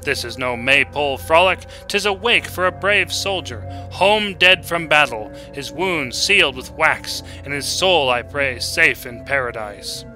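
A man's voice: on the high side, expressive, measured pace, hardly any pauses, bright, forceful tone, soft background music, heavily acted, rough recording. A man voicing an angry, mournful medieval wife and mother, scolding in archaic speech in a storybook reading.

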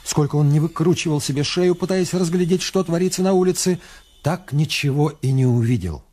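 A man's voice: fairly high pitch, brisk, dark tone. A man giving dramatic scripted narration as the narrator of a radio play.

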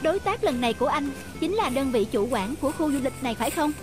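A woman voicing a haughty, selfish young wife in an animated moral story, with expressive, emphatic delivery. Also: high-pitched, fast talker, over music. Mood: cheerful.

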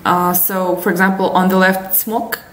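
A woman's voice: on the low side, level tone, normal speed. A young woman with a Russian accent, chatting casually as she explains internet memes in a lesson, with frequent fillers and laughs. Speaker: language teacher. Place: small room indoors. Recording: echoey room.

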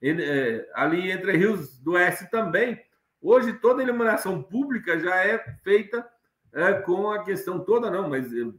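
A man's voice: high voice, expressive, moderate pace, fairly bright tone. A middle-aged man giving an online lecture from his study, rambling with many fillers and restarts. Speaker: guest lecturer. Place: home study with bookshelves. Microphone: webcam on video call. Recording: echoey room on video call.

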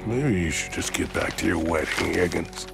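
Menacing western game villain, low creaky voice, dry and threatening, unhurried. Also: male voice, over loud music. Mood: cold, sardonic. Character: menacing, sardonic Old West hard man. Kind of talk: video game voice acting.

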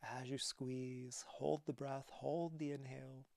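A man leading a guided relaxation as a yoga teacher, speaking calmly and softly with breathy phrases and long pauses. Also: mid-range voice, dry recording. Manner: calm, soothing instruction.

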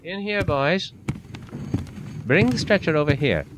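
A man's voice: fairly high pitch, expressive, measured pace, over loud music. An actor playing a folksy, protective male police officer in an audio drama, colloquial and exclamatory. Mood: brisk, protective.

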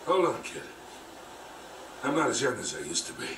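An actor playing a gruff, wry older treasure hunter, the hero's world-weary mentor, in a video game. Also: male voice, mid-range voice, sing-song, normal speed, recorded from a distance, background noise, close to an everyday voice. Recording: game audio played in an echoey room, music behind.

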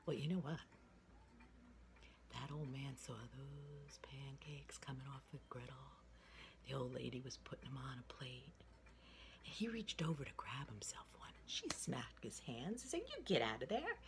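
A woman in her sixties telling a children's story in an expressive, playful, sing-song way. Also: very deep voice, unhurried. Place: indoors, room with wooden ceiling. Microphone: phone at arm's length. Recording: noisy home recording with room ambience.

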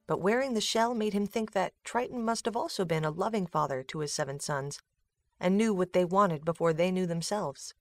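A woman's voice: fairly low pitch, normal speed, no room sound. A woman giving scripted third-person narration of a story as the narrator.

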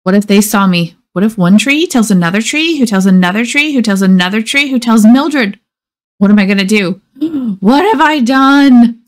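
A woman's voice: fairly high pitch, lively intonation, moderate pace, lightly acted. A woman voicing a jealous, anxious male porcupine from a children's storybook, dramatic, exclamatory and fretful. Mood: panicked. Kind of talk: children's storybook read-aloud.

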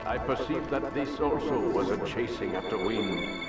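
A man's voice: fairly low pitch, normal speed, loud music behind the voice, close to an everyday voice. A man playing an imperious old teacher turned scheming villain in a video game, speaking in grand, rhetorical, theatrical lines. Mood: weakened, solemn. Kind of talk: dramatic video game acting.

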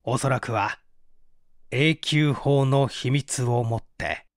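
A man's voice: medium pitch, slow pace, no echo, clean studio sound. A man reading mystery fiction aloud as a dramatic scripted narration. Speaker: audiobook reader.

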